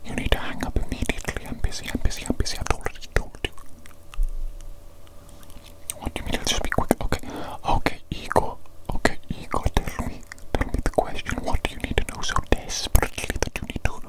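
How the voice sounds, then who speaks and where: A woman's voice: fairly quick, lightly acted. A woman whispering an ASMR roleplay as a pompous, condescending evil doctor, close to the microphone. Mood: exasperated. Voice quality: whispering throughout. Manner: haughty, self-important, easily exasperated.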